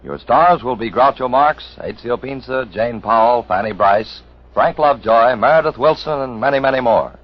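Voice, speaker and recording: A man's voice: mid-range voice, normal speed. A man delivering a scripted promo as a 1950s radio network announcer. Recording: muffled old radio broadcast.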